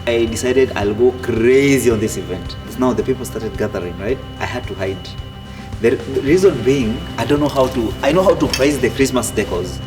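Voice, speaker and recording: A man, telling his own story in a relaxed way with many fillers and restarts. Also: mid-range voice, normal speed. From Kenya. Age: 30s. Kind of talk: casual personal storytelling. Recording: distant mic with music behind.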